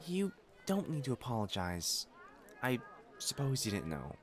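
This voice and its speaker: A man's voice: medium pitch, wildly swooping pitch, unhurried. A man playing a proud, possessive noble fiancé in a romantic audio roleplay, haughty and formal in speech and easily flustered. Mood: exasperated, jealous.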